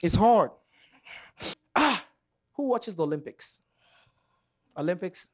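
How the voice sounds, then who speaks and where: A man from Kenya doing stand-up comedy on a club stage, loud and animated, joking with the crowd. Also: sits high, slow.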